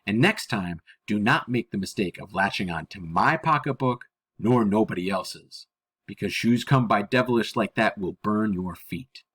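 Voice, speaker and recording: Man voicing a stern, kindly older woman, husky. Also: fairly low pitch, animated, normal speed, fairly bright tone. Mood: frank, kindly. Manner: folksy, blunt, nonstandard grammar.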